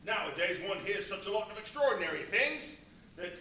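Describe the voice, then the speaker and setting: A man playing a chatty, gullible working-class mariner, animated and rambling in a live radio play. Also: high-pitched, a little fast. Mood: amazed, gossipy. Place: live stage performance in a brewery venue. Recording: echoey, noisy live venue, far from mic.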